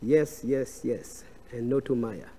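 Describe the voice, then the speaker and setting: Slow, French-accented man in a reverberant hall. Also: mid-range voice, warm tone. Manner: halting, with frequent uh and restarts. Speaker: scripture class speaker. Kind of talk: devotional scripture class. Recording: long reverb of a large hall.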